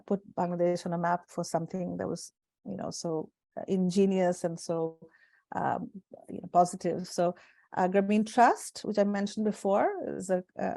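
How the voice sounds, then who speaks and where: A woman's voice: mid-range voice, warm tone, no echo. A middle-aged woman speaking as an interview guest over a video call, thoughtful and full of fillers and restarts. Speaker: guest, executive director. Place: home room indoors. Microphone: earphone headset on video call.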